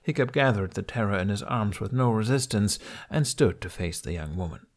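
A man's voice: lowish voice, animated, a little fast. A man reading a scripted story aloud as an audiobook narrator.

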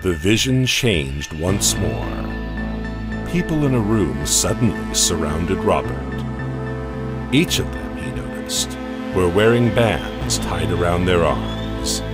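A man narrating a horror audio comic in a dramatic, measured storytelling manner. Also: deep voice. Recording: music bed underneath.

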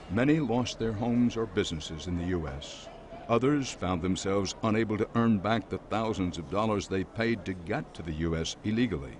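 A man reporting a documentary news story in a measured, scripted voice-over. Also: fairly low pitch.

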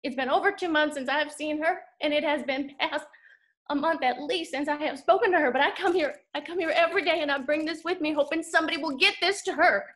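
An actress playing an anxious, devoted daughter in a theatrical monologue, speaking haltingly and emotionally. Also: female voice, high voice, fairly bright tone. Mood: pleading, anguished. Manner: halting, repeating words, emotional. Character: anxious, devoted Southern daughter kept from her mama's bedside.

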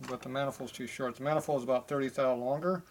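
A man's voice: medium pitch, steady tone, fast talker, slight background noise. A middle-aged man, a machinist, explaining engine assembly in a bluntly offhand way while working at a shop bench, recorded on a nearby camera. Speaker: machinist and mechanic. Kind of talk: hands-on shop demonstration. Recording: shop clatter of tools behind.

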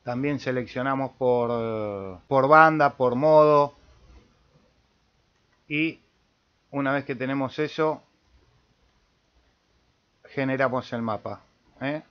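A man with an Argentine Spanish accent giving an informal software tutorial, thinking aloud as he demonstrates. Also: mid-range voice, takes it slowly, long pauses, muffled recording. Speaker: amateur radio video tutorial host.

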